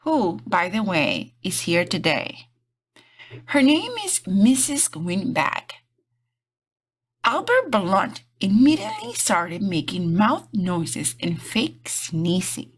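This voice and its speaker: Woman reading a kids book out loud, slow n careful, voice kinda husky, really up and down. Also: medium pitch, big pitch swings. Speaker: children's book reader. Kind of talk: expressive picture-book read-aloud.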